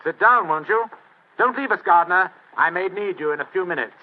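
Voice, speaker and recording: An actor playing a pompous, lazy older British gentleman, sardonic and languid, in a 1940s radio drama. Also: high-pitched, animated, fairly quick. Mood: brisk, dry. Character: pompous, lazy older gentleman, senior Foreign Office official. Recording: tinny old-time radio broadcast.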